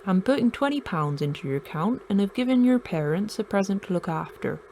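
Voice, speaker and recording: Actress as a lively, affectionate aunt. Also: female voice, medium pitch, a little fast, slight background noise, close to an everyday voice. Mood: affectionate, proud. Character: fond, proud aunt reading her birthday card. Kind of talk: audio drama acting.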